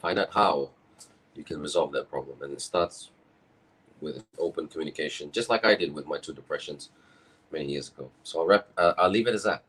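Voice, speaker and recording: A tired man with a croaky voice, speaking candidly on a video call and often restarting his sentences. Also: rumbling low, brisk, fairly bright tone. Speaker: summit speaker. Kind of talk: personal talk on video call.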